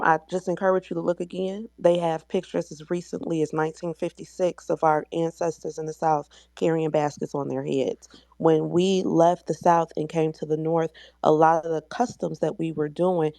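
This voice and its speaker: A woman's voice: sits low, a little mellow, no room sound. A woman with an American accent speaking as a guest in an online discussion, explaining things in an assertive, teacherly way.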